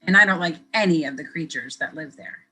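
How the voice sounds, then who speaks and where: A woman reads a children's picture book aloud in a low, slightly husky voice with a bright edge. She reads expressively and gently, stopping to ask young listeners questions. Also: a little fast, faint music behind, poor audio. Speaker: educator reading aloud to children. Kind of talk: children's read-aloud with teacher commentary.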